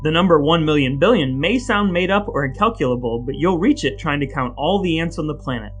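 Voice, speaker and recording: A man's voice: fairly high pitch, expressive, a little fast, soft background music. A man co-hosting a podcast with scripted, playfully mock-solemn delivery and banter. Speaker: podcast co-host. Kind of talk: scripted dramatic reading and bantering podcast talk.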